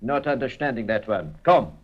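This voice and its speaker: An actor playing a stolid foreign seaman in a vintage radio thriller, speaking halting broken English with a foreign accent. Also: mid-range voice, animated, dull audio, close to an everyday voice. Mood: stolid, uncomprehending. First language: not English.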